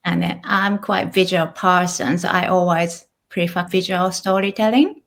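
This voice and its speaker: A woman in her thirties with a Japanese accent, talking as a guest in a relaxed interview. Her voice sits a little low for a woman. She thinks aloud with small restarts. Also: normal speed, creaky. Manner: thoughtful, with small restarts and light laughs. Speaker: guest, author and illustrator. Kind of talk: casual interview conversation.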